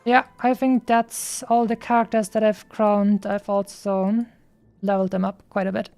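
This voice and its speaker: A woman's voice: medium pitch, flat delivery, moderate pace, darkish tone, no room sound, faint music behind. A woman streamer giving casual, chatty gameplay commentary, rambling and thinking aloud as she goes.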